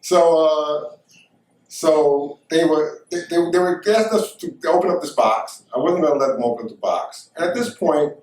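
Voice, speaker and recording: A man's voice: on the high side, normal speed, a little mellow. A middle-aged American man telling a casual first-person anecdote, often repeating words and phrases as he goes. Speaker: storyteller. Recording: echoey room, distant microphone.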